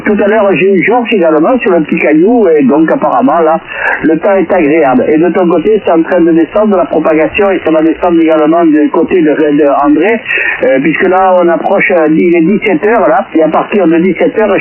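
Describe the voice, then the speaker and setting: High-pitched man on tinny ham radio. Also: brisk, a little hiss or hum. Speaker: amateur radio operator. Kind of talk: casual radio contact chat. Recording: tinny radio transmission with background chatter.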